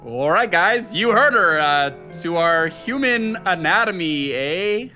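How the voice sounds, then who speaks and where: A goofy young male bro, dim and teasing. His intonation bounces around and loud music runs behind this audio drama figure. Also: high-pitched, lively intonation, slow. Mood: teasing, jovial. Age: young adult. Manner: joking, tags questions with eh.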